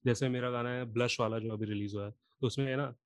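A man with a sore, cold-roughened throat talks casually, explaining a process patiently, one step at a time. His voice sits at an ordinary middle pitch. The sound is dry and close. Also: fairly even intonation, fast talker, no room sound. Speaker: singer and rapper. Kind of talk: casual podcast chat.

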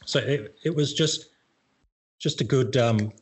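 A middle-aged Australian man chatting casually as a guest, relaxed and self-deprecating, often restarting his sentences. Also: mid-range voice, unhurried, slightly rough. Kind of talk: casual conversation.

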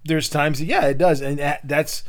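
A man's voice: on the high side, fairly even intonation, a little slow. A middle-aged man co-hosting a casual podcast, easygoing and joking in banter. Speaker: podcast co-host. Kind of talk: casual chat.

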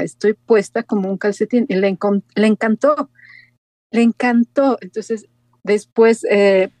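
A woman radio presenter chatting casually and telling lively anecdotes. She is recorded in a dry studio with no room echo. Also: mid-range voice.

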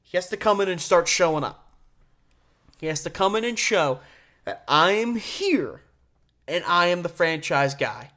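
A male sports podcast host with a very lively, swinging pitch range. He speaks emphatically and with strong opinions, stretching key words for stress as he delivers solo commentary. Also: measured pace, long pauses.

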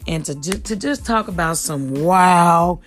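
A woman with a raspy voice hosting her own podcast from home, rambling casually and full of slang. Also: lowish voice, a little slow. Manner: slangy, chatty, addresses listeners as y'all. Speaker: podcast host.

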